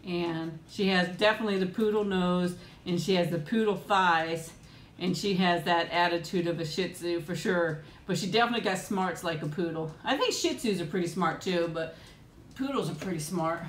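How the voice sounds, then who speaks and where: A woman in middle age hosting a casual live chat with viewers at home, rambling and laughing often, with frequent ums. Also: moderate pace. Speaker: host of a live chat and eating stream. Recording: echoey room with faint rumble.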